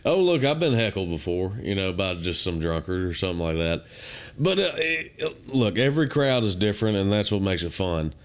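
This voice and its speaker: Young man, very low and smooth voice, easygoing drawl, casual guest chat. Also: very animated, moderate pace. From Kentucky. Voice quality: naturally deep voice. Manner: laid-back, drawling, many fillers like "man" and "uh". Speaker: country singer, guest.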